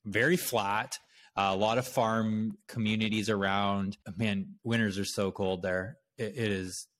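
Low-pitched Canadian man, casual podcast host. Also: fairly even intonation, a little slow, a bit bright. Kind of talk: casual conversational podcast talk.

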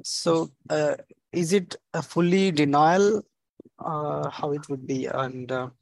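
A man from India with an Indian accent, speaking haltingly as he puts a question as a caller on a conference call. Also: a little high, fairly even intonation, vocal fry, dry recording, poor audio. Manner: hesitant, halting. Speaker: caller seeking visa advice.

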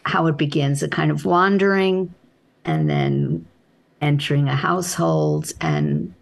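Soft spoken lady writer, lowish gravelly voice, thoughtful, kinda halting. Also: very animated. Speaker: author being interviewed. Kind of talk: reflective interview answers.

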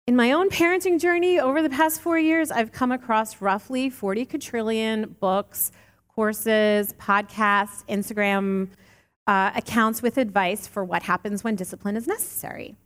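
A woman in her late thirties giving a sermon, earnest and warm with touches of light humor. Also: fairly high pitch, normal speed. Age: late 30s. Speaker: lay preacher. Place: church sanctuary. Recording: long church reverb.

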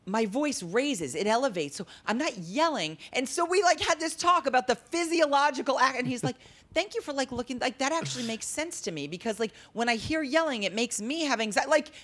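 A woman with a low, deep-timbred voice, telling stories in a casual interview and acting out the dialogue. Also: brisk. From Las Vegas. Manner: animated, acts out quoted dialogue. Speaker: comedian guest.

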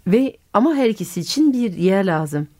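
A woman's voice: mid-range voice, big pitch swings, moderate pace, dark tone. A woman from France, speaking in a language that is not her first, hosts a radio conversation. Her voice rises and falls a great deal. She asks probing questions and often completes her guest's thoughts. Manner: curious, questioning, finishes the guest's sentences. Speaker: radio host and storyteller. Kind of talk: radio interview.

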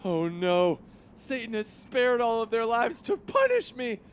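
A man's voice: very high-pitched, sing-song, unhurried, slight background noise, heavily acted. A man playing a cowardly, bragging boy hero. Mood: panicky. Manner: self-serving, blustery, panicky. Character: boastful, cowardly young male superhero.

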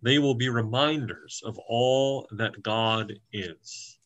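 A man voicing a slow, solemn old turtle. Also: medium pitch, fairly bright tone. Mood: solemn, tender. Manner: measured, solemn, weighty phrasing. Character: wise, ancient female turtle, solemn and reassuring.

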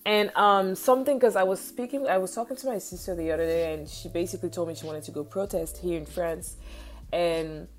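A woman's voice: fairly low pitch, fairly quick. A young woman co-hosting a casual talk show, speaking earnestly and rambling with lots of filler words. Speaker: podcast co-host. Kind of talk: casual chat.

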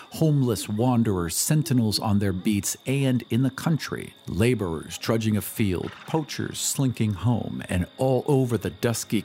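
A man narrating a story as a scripted dramatic reading, in a measured, solemn storytelling manner. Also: lowish voice, darkish tone. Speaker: podcast host and narrator. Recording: music behind the voice. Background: music.

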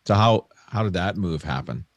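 A middle-aged man hosting an interview, asking brief relaxed questions and tossing in short replies. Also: low-pitched, normal speed, no echo. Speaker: interview host. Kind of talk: casual interview chat.

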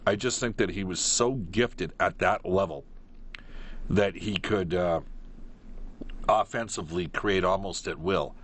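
A man hosting a live sports phone-in, giving opinions emphatically and pausing mid-thought. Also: deep voice, slight background noise. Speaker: sports radio call-in host.

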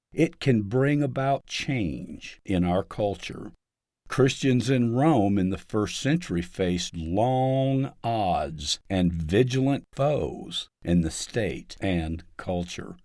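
A man with a fairly low voice narrates a written devotional commentary. His delivery is slow and earnest, with emphatic phrasing and a very lively rise and fall. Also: no room sound, clean studio sound. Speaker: article narrator. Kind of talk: scripted article reading, devotional commentary.

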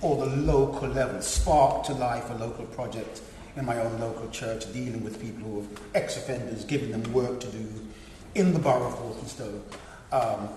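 A man's voice: medium pitch, mellow. Male panelist, middle-aged; earnest, stop-start delivery; roomy, reverberant hall sound with faint noise. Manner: earnest, halting restarts. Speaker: panel speaker. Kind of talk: panel discussion remarks. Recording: echoey hall with long reverb.

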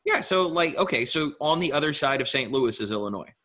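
A man's voice: fairly high pitch, animated, a little fast, sharp tone. A man co-hosting a casual podcast, telling rambling anecdotes and making dry asides. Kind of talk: casual chat.

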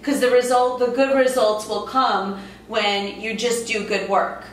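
A woman speaks with fairly high pitch as a guest in a casual interview. She tells stories in an animated way, full of filler words. Her voice sounds echoey and a little far from the mic, with faint noise behind it. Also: unhurried. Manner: animated storyteller with frequent "like" fillers. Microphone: podcast microphone across a table.

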